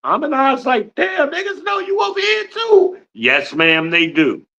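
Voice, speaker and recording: A man's voice: very high-pitched, huge pitch swings, measured pace, gravelly, sharp tone, dull audio. A man in his fifties from Newark, New Jersey, hosting a podcast livestream with a boastful, blunt, profane rant. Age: 50s. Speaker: podcast host.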